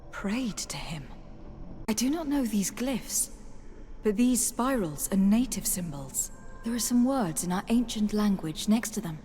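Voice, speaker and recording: A woman's voice: mid-range voice, mellow, a little hiss or hum, lightly acted. An actress playing a composed, diplomatic noblewoman adventurer in a video game, polite and articulate. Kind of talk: dramatic video game acting.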